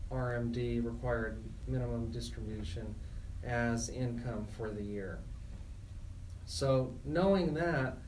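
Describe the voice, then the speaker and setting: A man co-hosting a radio show as an attorney, explaining things in a measured, plain way. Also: medium pitch, a little mellow, recorded from a distance, a little hiss or hum, low-quality recording. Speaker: attorney co-host.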